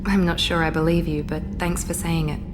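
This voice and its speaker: A woman's voice: deep voice, little pitch movement, brisk, close to an everyday voice. An actress playing a proud, genetically engineered woman officer in a video game, speaking in a composed, precise and self-assured way. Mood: composed, cool. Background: game music.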